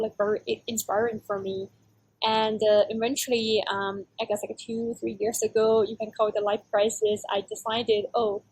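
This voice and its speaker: A woman's voice: medium pitch, slight background noise, rough recording. A young woman from China, a startup founder speaking English as a second language, talks casually as an interview guest and fills her speech with "like" and "uh". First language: Chinese. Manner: casual, many fillers like "like" and "uh".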